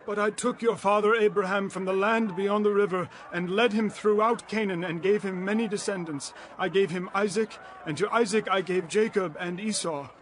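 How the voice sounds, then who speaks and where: An actor playing an aged leader of Israel, grave and exhorting, in a dramatized scripture reading. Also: male voice, high voice, steady tone, normal speed, hardly any pauses, fairly bright tone. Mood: solemn, declaring. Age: older. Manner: grave, declamatory, emphatic.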